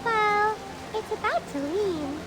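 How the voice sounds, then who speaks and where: A woman's voice: very high voice, animated, takes it slowly, music in the background, heavily acted, low-quality recording. A woman playing a young, curious and concerned fantasy adventurer in a game story, earnest and questioning. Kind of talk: scripted game voice acting.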